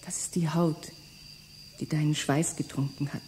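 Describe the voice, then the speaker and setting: A woman playing a dark-voiced, whispering lost lover. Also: deep voice, steady tone, normal speed, a little hiss or hum, natural voice. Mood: seductive. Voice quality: intimate, breathy whispering. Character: bitter seductive woman reclaiming her lost lover.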